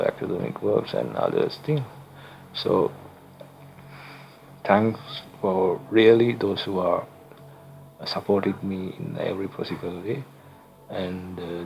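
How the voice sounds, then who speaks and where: A young man from Sri Lanka whose first language is not English, hosting a screen-recorded coding tutorial in halting speech with frequent fillers and self-corrections. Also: low voice, vocal fry, darkish tone, rough recording. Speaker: channel host, tutorial maker.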